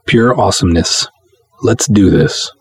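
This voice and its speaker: Male podcast host, low and husky, direct-to-listener announcements. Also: moderate pace, a little mellow. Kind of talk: podcast monologue, motivational announcements.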